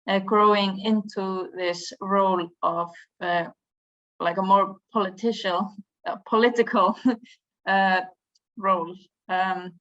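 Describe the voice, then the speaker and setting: A woman's voice: medium pitch, fairly bright tone. A young woman in her twenties with an Icelandic accent, chairing a youth environmental association and giving an online conference presentation, hesitant, with many ums and restarts. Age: 20s. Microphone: video call. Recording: dull lo-fi video call audio.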